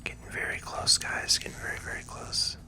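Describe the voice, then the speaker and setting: A gaming video host giving hushed, relaxed ASMR commentary in a breathy, unvoiced whisper while playing. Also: brisk.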